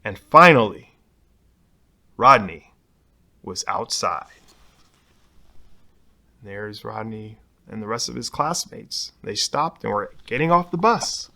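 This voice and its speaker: A man's voice: fairly low pitch, animated, slow pace, creaky, long pauses, sharp tone. A man reading a children's picture book aloud expressively, putting dramatic emphasis on key words. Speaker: storybook reader.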